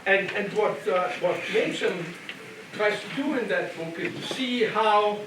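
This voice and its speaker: A man's voice: high-pitched, measured pace. Older German-accented man in echoey hall. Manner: conversational, repeats and restarts phrases, asks the room "okay?". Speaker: lecturer. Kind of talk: church adult education lecture. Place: church hall. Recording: very echoey hall, distant mic, background noise.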